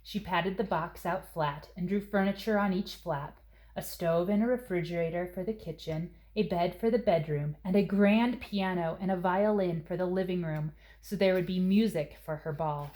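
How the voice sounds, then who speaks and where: A woman reading a children's story aloud as narrator, with expressive, deliberate phrasing. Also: lowish voice. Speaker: storybook reader.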